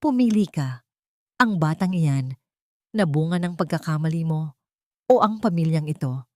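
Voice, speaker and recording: A woman's voice: low voice, animated, normal speed, darkish tone, no echo, studio quality. A woman playing a stern, proud older mother who scolds angrily in an audio drama. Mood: angry, disappointed.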